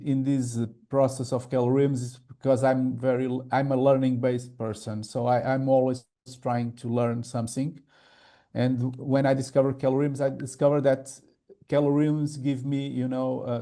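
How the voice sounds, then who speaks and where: Halting Portuguese-accented man, gravelly. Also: mid-range voice, mellow. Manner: halting, many fillers and repeated words. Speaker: real estate trainer and coach, guest. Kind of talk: hesitant conversational English.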